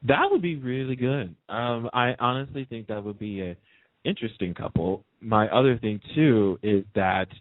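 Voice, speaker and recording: A man's voice: medium pitch, normal speed, no room sound. A man hosting a talk show, chatting casually in an enthusiastic, opinionated, gossipy way. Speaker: radio talk show host. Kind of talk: casual chat about TV soaps. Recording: narrow tinny phone-line sound.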